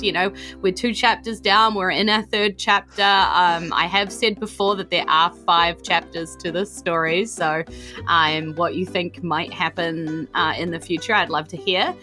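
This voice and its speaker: A woman's voice: on the low side, bright, forceful tone. A woman chatting informally and giggly as a podcast host. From New Zealand. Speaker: podcast host and game master. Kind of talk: casual podcast chat.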